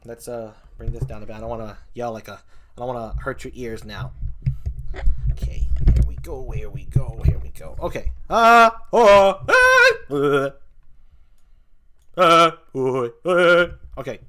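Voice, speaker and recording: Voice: mid-range voice, very animated, unhurried, pushed and bright. A live streamer chatting casually with the chat while setting up to sing, talking loosely and swearing when flustered. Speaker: VTuber streamer.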